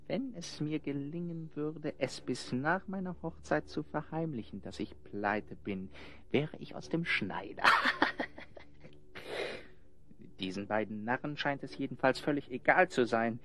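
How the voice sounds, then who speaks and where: A young gentleman schemer in a children's radio play. His voice is mid-pitched, with formal, mannered, smooth-talking delivery. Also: slow. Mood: scheming. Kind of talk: German children's audio drama acting.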